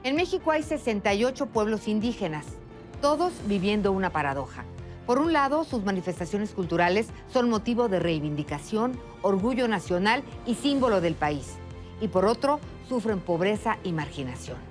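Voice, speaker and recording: A woman's voice: mid-range voice, very animated, moderate pace, faint music behind. A woman hosting a TV interview program, giving a poised, scripted opening and then asking questions. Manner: poised, articulate. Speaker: TV interview host.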